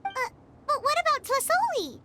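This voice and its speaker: A woman voicing a small, loyal, excitable fairy companion in a video game, with a squeaky childlike voice and an earnest manner. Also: normal speed, exaggerated voice. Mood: curious, awed. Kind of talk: English video game voice acting. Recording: music behind the voice.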